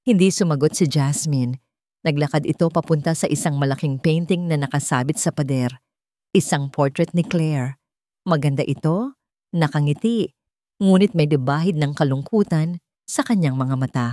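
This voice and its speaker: A woman tells a dramatic story in a low voice. Her delivery is scripted and measured, broken into short phrases, and the sound is dry and close. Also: dark tone, no echo.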